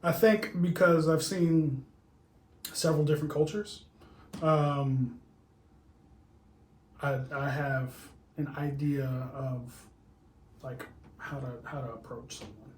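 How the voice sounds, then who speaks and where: A man guesting on a casual podcast, talking in a halting way with many fillers and restarts. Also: a little high, steady tone. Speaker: guest, agency owner. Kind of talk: casual conversation. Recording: echoey room with faint noise.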